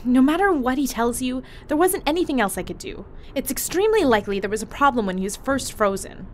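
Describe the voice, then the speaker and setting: A brash and foul-mouthed medic speaks in a high, lively voice, blunt and quick to get sarcastic or defensive. It is audio drama acting over faint ambient noise. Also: female voice.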